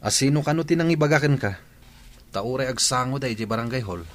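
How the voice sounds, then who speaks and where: A man's voice: medium pitch, a little fast, a bit bright, lightly acted. Man acting a stern Ilocano village husband. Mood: irritated. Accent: Filipino, Ilocano. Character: stern husband and dutiful son, quick to scold. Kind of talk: comedy radio drama acting.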